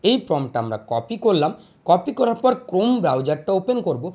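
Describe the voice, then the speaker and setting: A host narrates a how-to video step by step. The pitch swings widely and animatedly. Phrases get repeated, with small check-ins to the listener along the way. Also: big pitch swings, normal speed, darkish tone. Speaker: tutorial host. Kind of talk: step-by-step tutorial voice-over.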